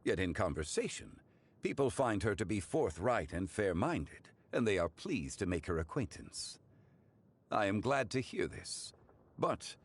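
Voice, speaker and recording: Dignified, measured scholar. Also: male voice, low-pitched, breathy edge, exaggerated voice. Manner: measured, courtly phrasing. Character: calm, dignified, learned man. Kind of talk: game cutscene voice acting.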